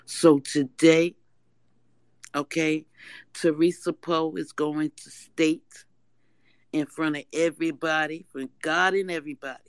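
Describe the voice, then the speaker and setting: Hoarse, emphatic woman. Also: low-pitched, steady tone, measured pace, dry recording. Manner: loud, emphatic, swearing. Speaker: caller. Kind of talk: casual fan chat.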